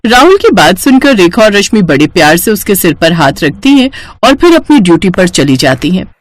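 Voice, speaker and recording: A woman's voice: medium pitch, very animated, moderate pace. A woman narrating a scripted family story in the manner of a storyteller.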